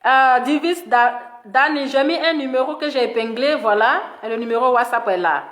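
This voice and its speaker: A woman with a high voice and an Ivorian accent speaks as the host of a live stream. She gives opinionated commentary in an emphatic, scolding way, hammering points by repeating them. The sound is roomy and echoing. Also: normal speed. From Ivory Coast. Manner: animated scolding, emphatic repetition.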